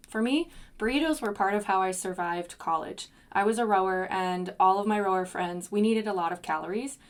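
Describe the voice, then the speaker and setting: A young adult woman hosting a solo podcast, chatty, with self-deprecating asides. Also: medium pitch. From United States. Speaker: podcast host.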